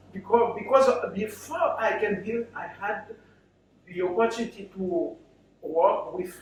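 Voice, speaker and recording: Male guest speaker, Haitian accent, high voice, unhurried and halting, telling his own story to a room. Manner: halting, short broken phrases. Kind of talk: personal story told to an audience. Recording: very echoey, distant microphone, faint noise.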